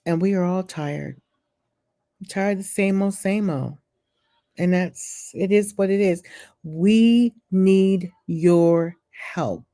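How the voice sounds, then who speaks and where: A woman vlogger who reads political news aloud haltingly, stumbling over names, then breaks into chatty opinions of her own. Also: on the low side, dark tone. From California. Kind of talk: reading news aloud and commenting.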